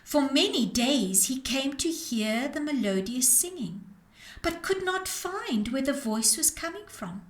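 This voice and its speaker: A woman storyteller reading a storybook aloud to children in an expressive, dramatic way with deliberate phrasing. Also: high voice. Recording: roomy, echoing sound.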